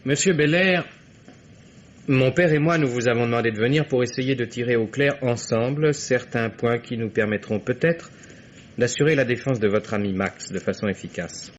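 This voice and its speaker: Acteur en jeune enquêteur insistant, son radio sourd. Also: male voice, medium pitch, moderate pace, lightly acted. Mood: formal, pointed. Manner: formal, pointed. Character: young male investigator, insistent, methodical accuser. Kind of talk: old-time French radio mystery acting. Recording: dull, noisy vintage radio recording.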